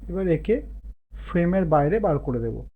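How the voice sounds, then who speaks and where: A man giving casual step-by-step software instruction, thinking aloud as he works. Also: high voice, lively intonation, moderate pace, slight background noise. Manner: explains while working, thinking aloud. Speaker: tutorial host. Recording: muffled phone-like narow-band sound.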